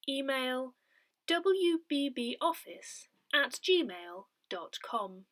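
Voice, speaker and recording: Woman, slow pace, fairly high pitch, scripted newsletter reading, careful enunciation. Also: fairly bright tone. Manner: measured, careful enunciation of details. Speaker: reader of a parish newsletter audio edition. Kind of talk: scripted read-aloud of newsletter and notices.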